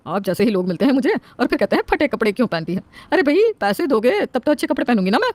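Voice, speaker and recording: A woman performs a cheeky older housemaid from a household tale. She answers back shrewdly and quickly in a fairly high voice. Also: sing-song, a little mellow, no room sound. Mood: defiant. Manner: saucy, talking back.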